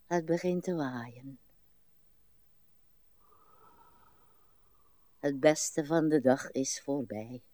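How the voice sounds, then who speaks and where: An actress playing a weary, morbid, rambling old woman in a radio play, with slow, plaintive delivery. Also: extremely low pitch, warm tone, dry recording. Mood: gloomy. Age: older.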